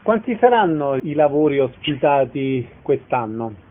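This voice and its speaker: A man's voice: medium pitch, sing-song, unhurried. A man working as an interviewer, delivering prepared introductions and short questions. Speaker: radio interviewer. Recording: thin, phone-like band-limited sound.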